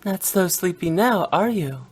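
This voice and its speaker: A woman's voice: lowish voice, expressive, a little slow. A woman voicing a playful, teasing young woman director in a video game, with theatrical storytelling. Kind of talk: scripted video game voice acting.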